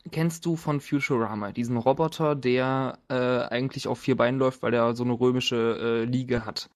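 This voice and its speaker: A man with a mid-pitched voice leads a tabletop roleplaying game. He improvises descriptions in a halting, playful way and jokes casually with his players. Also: fairly even intonation. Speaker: game master.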